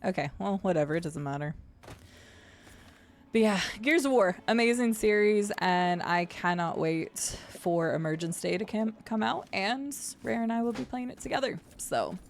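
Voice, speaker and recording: Gravelly-voiced young woman streamer. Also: moderate pace, a little hiss or hum. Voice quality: gravelly with deep vocal fry. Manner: chatty, swears and laughs at the game. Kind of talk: casual live-stream chat while gaming. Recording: faint game music behind.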